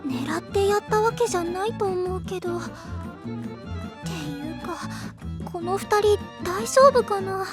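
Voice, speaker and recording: A woman voicing a sensible, mild-mannered teenage girl in an anime, gentle and matter-of-fact. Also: very high voice, a little slow, loud music behind the voice. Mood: mildly worried. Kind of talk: anime voice acting.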